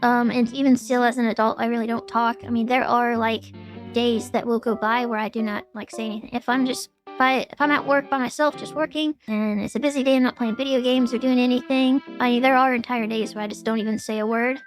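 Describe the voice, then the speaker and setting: Gravelly-voiced woman rambling through Q&A. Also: a little high, steady tone, fairly quick, soft background music. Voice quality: gravelly, strained. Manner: rambling with many fillers and restarts. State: throat getting sore. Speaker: VTuber. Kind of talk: casual Q&A vlog.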